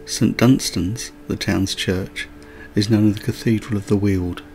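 Man, travel voice-over, low voice, gravelly, light chatty asides. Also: fairly even intonation, measured pace, a little mellow, soft background music. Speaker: travel vlogger. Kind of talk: scripted travel narration.

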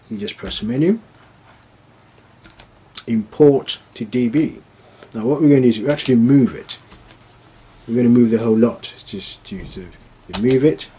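A man giving an informal, unscripted step-by-step device demonstration, haltingly thinking aloud as he works through it. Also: mid-range voice, dark tone, slightly rough. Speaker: product demonstrator.

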